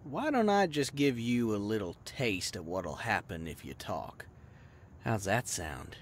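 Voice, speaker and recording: A man's voice: lowish voice, big pitch swings, a little slow, a little hiss or hum. A man playing a hot-tempered young small-town thug in an audio drama, taunting in coarse slang. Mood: menacing. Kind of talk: audio roleplay acting.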